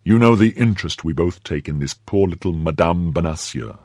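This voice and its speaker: A man voicing a gallant young Frenchman in a storybook, confident, coaxing and quick to give orders. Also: low-pitched, animated, a little mellow, no echo. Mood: tender, coaxing. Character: gallant, brisk young Frenchman, affectionate and commanding.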